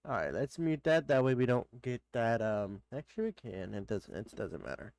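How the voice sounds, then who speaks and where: Streamer, male, congested nose, smooth clean voice, bone-dry close mic, rambling friendly chat. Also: mid-range voice, very animated, normal speed, darkish tone, dry recording. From Texas. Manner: rambling, greets chatters by name. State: stuffed-up nose. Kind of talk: casual live-stream chat.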